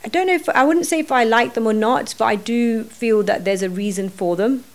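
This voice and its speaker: A middle-aged woman, a podcast guest, talking earnestly and thinking aloud, often pausing with fillers. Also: on the high side. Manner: earnest, thinking aloud with many "you know"s. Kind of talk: reflective podcast conversation.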